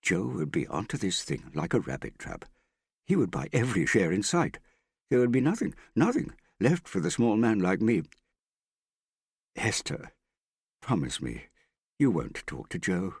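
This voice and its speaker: A man's voice: low voice, sing-song, unhurried, vocal fry, breathy edge, dry recording, studio quality, exaggerated voice. A man voicing a vain, theatrical middle-aged father in an audiobook, wheedling and self-pitying with a pompous, affected way of talking. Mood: impatient, agitated, then sulky, appealing. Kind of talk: audiobook character dialogue.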